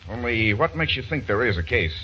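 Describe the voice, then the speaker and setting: A man's voice: mid-range voice, expressive, a little fast, slight background noise, lightly acted. Give me a man playing a skeptical agency chief, muffled. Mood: doubting. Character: skeptical, level-headed male agency chief. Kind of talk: 1940s radio acting. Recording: muffled old radio recording.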